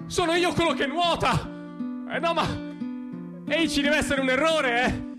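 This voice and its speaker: A man performing a dramatic comic stage monologue as an anxious, conflicted dreamer, halting and repeating himself in agitation. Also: very high-pitched, music in the background, voice pushed up. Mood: frantic excitement. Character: anxious, conflicted dreamer, a man torn between conscience and panic.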